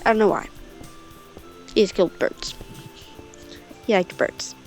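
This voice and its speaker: A woman's voice: mid-range voice, unhurried, a little mellow, soft background music. A woman telling a story casually and off the cuff, rambling, with offhand asides. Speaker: storyteller on a gaming channel.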